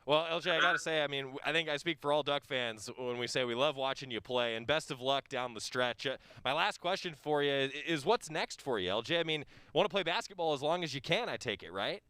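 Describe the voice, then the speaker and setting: A man's voice: a little high, a little fast, hardly any pauses, sharp tone, clear, resonant tone, dry recording. A podcast host interviewing a college athlete, upbeat and enthusiastic, often stumbling over how he starts a sentence. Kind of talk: friendly sports interview.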